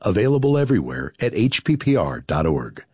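A male announcer giving a smooth station identification. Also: on the low side, sing-song, a little fast, mellow, no room sound, clean studio sound.

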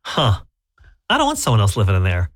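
A male radio host who grew up in England chats with his co-host, asking question after question. He talks quickly, and his pitch swings widely. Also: wildly swooping pitch. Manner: chatty, wistful, asks questions. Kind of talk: casual chat.

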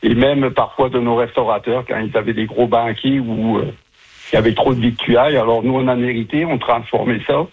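A man's voice: mid-range voice, steady tone, fairly quick, slightly rough. A man in his seventies from southern France, a retired chef chatting and telling stories as an interview guest over the telephone. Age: 70s. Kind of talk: casual interview answers. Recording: muffled, narrow-band phone line.

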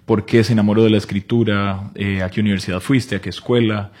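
A Costa Rican man with a fairly low, husky voice hosts a conversational interview. He is courteous and measured, building each question in short phrases. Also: steady tone, darkish tone. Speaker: host of an interview program.

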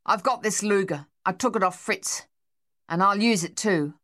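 A woman's voice: medium pitch, normal speed, a bit bright, close to an everyday voice. A woman voicing a desperate, shell-shocked young male Australian soldier in an audiobook, talking in a shaky, pleading, rambling way with an Australian accent.

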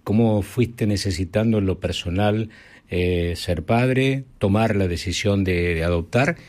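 A man, an interviewer asking measured, reflective questions. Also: fairly low pitch, darkish tone.

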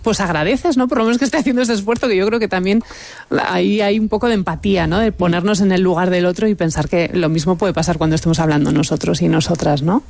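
A woman's voice: fairly low pitch, fairly even intonation, quick pace, vocal fry, a little mellow. A woman hosting a roundtable, asking questions with frequent tag questions. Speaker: host.

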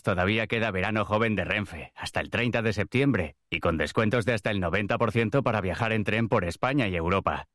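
Male advert voice-over, lowish pitch, dry close recording, scripted promotional read. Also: a bit bright, no echo.

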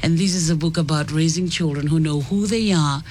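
A radio presenter hosting an interview in an upbeat, lively manner. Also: medium pitch, brisk, slight background noise.

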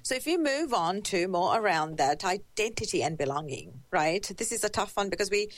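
A woman hosts a conversational interview and keeps encouraging her guest with warm affirmations. Her voice moves through a very lively pitch range from a middle base. The recording is dry and close to the mic. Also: moderate pace, dry recording. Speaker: podcast host, interviewer.

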